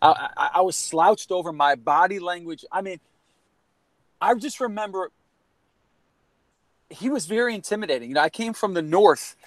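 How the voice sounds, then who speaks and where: A man's voice: high voice, lively intonation, normal speed, long pauses, dry recording. A man around forty from Connecticut, telling a personal story in an earnest, rambling way, full of restarts and "you know.". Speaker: guest, former college baseball player. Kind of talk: candid storytelling in a podcast conversation.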